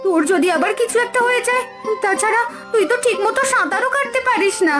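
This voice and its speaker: Woman acting fretful village mother, cartoon folktale, very high voice, emphatic, music behind. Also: fast. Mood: worried. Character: worried, poor village mother, scolding yet tender.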